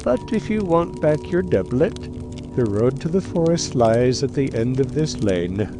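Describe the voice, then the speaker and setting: A man voicing a plain-spoken, helpful woodman in a fairy tale, with a folksy, deliberate manner. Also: medium pitch, sing-song, over music. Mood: helpful, unhurried.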